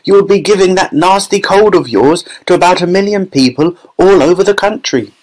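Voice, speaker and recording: A bossy, overwrought storybook mother, acted out by a man, pitched far up. She is shrill and scolding, always in a flap. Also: fairly quick, darkish tone, natural voice. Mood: scolding, alarmed. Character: frantic bossy mother, shrill adult woman. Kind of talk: audiobook children's story character voice.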